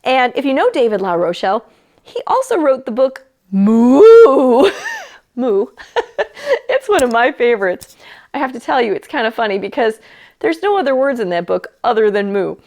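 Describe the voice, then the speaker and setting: A woman's voice: on the high side, sing-song, normal speed, darkish tone. A woman presenting a children's library storytime, chatting and reading aloud in a playful, enthusiastic way.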